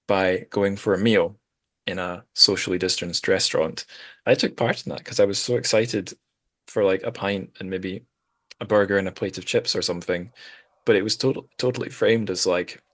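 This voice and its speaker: A man's voice: sits low, fairly bright tone, dry recording. A man hosting a casual literary podcast, talking in a wry, discursive way with hesitant phrasing. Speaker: podcast host.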